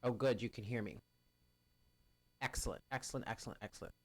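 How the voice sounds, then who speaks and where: A man hosting a live stream of readings, chatting casually with viewers and greeting them by name in a loud, carrying voice. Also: medium pitch, a little fast, no echo. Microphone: home stream mic, first too quiet, then louder.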